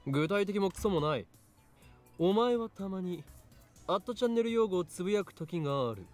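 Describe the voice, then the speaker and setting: Mocking young male eccentric, pompous lectures, very rapid delivery, highish voice, visual novel, music behind. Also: lively intonation, darkish tone. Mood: accusing. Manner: pompous, declamatory. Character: eccentric, mocking young man, self-important lab leader. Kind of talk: Japanese visual novel voice acting.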